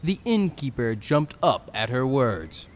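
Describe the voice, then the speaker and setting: Man narrating with a lively, varied pitch. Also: measured pace, slight background noise. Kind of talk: scripted audio drama narration.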